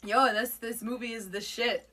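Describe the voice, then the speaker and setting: A woman's voice: fairly high pitch, steady tone, moderate pace, bright, forceful tone, slight background noise. A woman co-hosting a casual chat in an informal, unguarded way. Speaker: co-host. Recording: distant mic.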